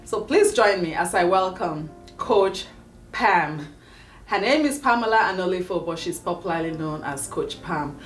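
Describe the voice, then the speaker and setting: A woman hosting an interview show, welcoming her guest formally and posing long, drawn-out questions. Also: on the low side, animated, far from the mic. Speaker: talk show host. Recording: echoey room with faint music, away from the mic.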